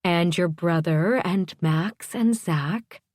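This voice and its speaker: A woman voicing an affectionate, teasing mother with a lilting, gently chiding manner, in an audiobook. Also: fairly low pitch, takes it slowly, dry recording, clean studio sound. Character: bright, affectionate, teasing mother of grown daughter.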